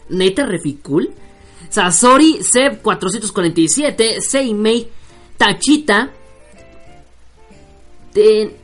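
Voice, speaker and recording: A man hosting an online radio show, chatting casually and joking, with many fillers and restarts as he reads out listener shout-outs. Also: sits high.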